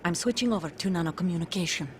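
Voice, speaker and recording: Woman acting a low-voiced, guarded undercover soldier. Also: rapid-fire, a little hiss or hum, lightly acted. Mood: guarded, urgent. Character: guarded female undercover soldier, grave mother of a stolen child. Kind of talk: dramatic video game cutscene acting.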